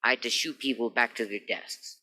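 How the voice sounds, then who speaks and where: A man's voice: mid-range voice, fairly quick, sharp tone. A man playing a smug, preening business partner in an old-time radio crime play, speaking in a pompous, flowery way. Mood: wounded dignity. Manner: pompous, flowery, mannered delivery.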